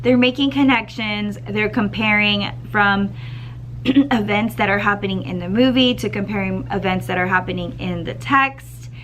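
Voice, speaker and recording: A second grade teacher with a slightly scratchy voice, chatting upbeat to camera in a school classroom, clearing her throat now and then. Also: medium pitch, normal speed, a bit bright. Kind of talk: casual vlog chat to camera.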